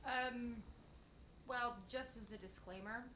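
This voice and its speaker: A woman in her thirties with a high-pitched, youthful-sounding voice, chatting playfully and self-deprecatingly as a shop manager co-hosting a live stream. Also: takes it slowly, sharp tone. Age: 30s. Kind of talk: casual live-stream chat. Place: in a print shop, off camera. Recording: echoey room with faint ambient noise.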